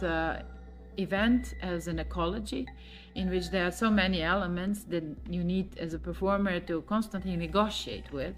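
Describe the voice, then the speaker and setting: Woman, lowish voice, measured interview answers, soft music behind. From Serbia. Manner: thoughtful, many pauses and fillers. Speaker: choreographer.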